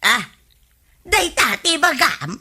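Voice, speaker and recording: A woman's voice: sits high, big pitch swings, slow, bright, forceful tone, full character voice. A woman playing a money-minded, nosy Filipino village wife in a comedy radio drama, exclaiming and complaining. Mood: nosy, scheming.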